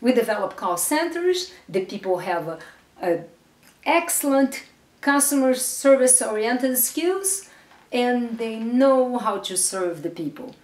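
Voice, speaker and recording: A woman's voice: on the high side, animated, slow pace, darkish tone, recorded from a distance. Woman in an echoey room. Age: middle-aged. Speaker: business presenter pitching investments. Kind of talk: unscripted promotional talk to camera. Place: small bare room indoors. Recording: echoey room, off-mic, faint noise.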